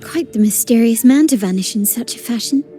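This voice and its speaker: A woman's voice: on the high side, a little fast, warm tone, soft background music, natural voice. An actress playing a composed young noblewoman in a video game, polished and courteous in speech.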